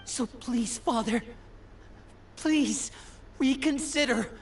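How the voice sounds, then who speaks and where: A man's voice: extremely high pitch, slow, distant mic, a little hiss or hum, full character voice. An actor playing an earnest, loyal young man in a video game, articulate and emotional. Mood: pleading.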